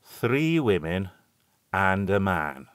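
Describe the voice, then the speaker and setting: A man's voice: lowish voice, animated, slow pace, dry recording, clean studio sound, voice pushed up. A man voicing a grave, superstitious old shepherd who talks in a broad rustic dialect. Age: older. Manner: broad country dialect, ungrammatical rustic speech.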